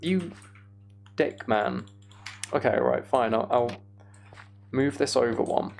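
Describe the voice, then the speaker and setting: A man's voice: medium pitch, slow, gravelly, slight background noise. A British man chatting casually on a livestream while he plays a game, rambling and thinking aloud. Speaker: streamer.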